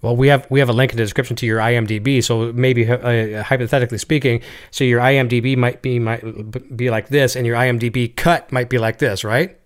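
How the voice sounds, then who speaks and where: A man's voice: medium pitch, steady tone, quick pace, hardly any pauses, clear, resonant tone. A Canadian man hosting an interview, chatty and eager, reading out viewer messages. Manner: chatty, enthusiastic, reads viewer comments. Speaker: show host.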